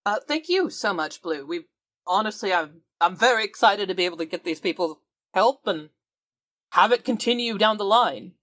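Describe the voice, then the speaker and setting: A woman's voice: medium pitch, animated, normal speed, a bit bright, dry recording. Polite woman playing a tabletop game. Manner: polite, appreciative. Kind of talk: in-character improvised conversation.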